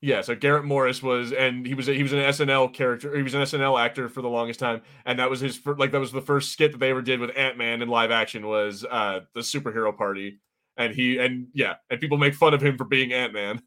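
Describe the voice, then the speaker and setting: A man's voice: medium pitch, fairly even intonation, quick pace, pushed and bright. A man co-hosting a podcast, giving casual watch-party commentary in a chatty, slangy way with some swearing. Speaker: podcast co-host.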